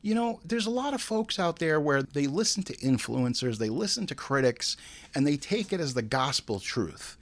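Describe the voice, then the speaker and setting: Animated man ranting through a casual review. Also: fairly high pitch, moderate pace. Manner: profane, emphatic, exasperated ranting with slangy asides. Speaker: host of a movie review show. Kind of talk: casual comedic review rant.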